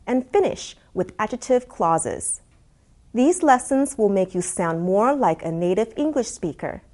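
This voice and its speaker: A woman who grew up in the United States, an English teacher presenting a scripted grammar lesson in an upbeat, clearly enunciated way. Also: medium pitch, a little mellow.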